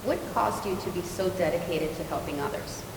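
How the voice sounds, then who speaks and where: A woman moderator introduces the panelists and poses questions in a large hall. She sounds distant from the mic, with a heavy echo, long reverb and a clear low rumble behind her. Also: fairly quick.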